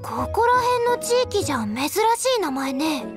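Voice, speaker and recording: A woman playing a spirited, dutiful young female knight scout in an anime game, brisk and earnest. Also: high voice, big pitch swings. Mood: suspicious, official. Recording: music under the dialogue.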